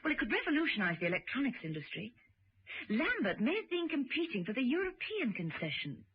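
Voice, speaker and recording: An actress playing a brisk, clever, self-assured secret agent in a radio drama, composed and knowing. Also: female voice, high voice, wildly swooping pitch, breathy edge, heavily acted. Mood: informative. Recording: dull, noisy old radio recording.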